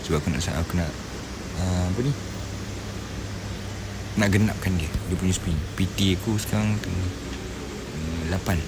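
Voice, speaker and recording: A man's voice: low-pitched, fairly even intonation, fairly quick, pauses often, heavy background noise. A man with a Malaysian accent chatting casually on a game live stream, muttering and mixing in English words.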